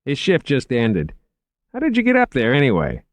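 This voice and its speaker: A man's voice: medium pitch, huge pitch swings, normal speed, no echo, voice pushed up. A man voicing a brusque, grumbling security dispatcher in an audiobook, blunt and casual over the radio. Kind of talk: audiobook character acting.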